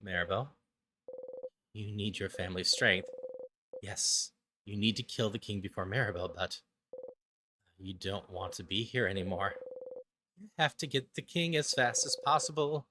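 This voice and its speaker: A person voicing a despairing hero's obsessive, bitter inner monologue in a dramatic game voice-over, intense and halting, repeating phrases. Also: low voice, noisy background, voice pushed down, close to an everyday voice. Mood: grim, resigned.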